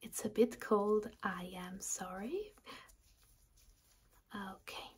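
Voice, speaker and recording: Slow, whispering woman in ASMR doctor roleplay. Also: medium pitch. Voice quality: breathy whisper, mostly unvoiced. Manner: gentle, reassuring, unhurried explaining. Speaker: ASMR performer playing a doctor. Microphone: close ASMR microphone.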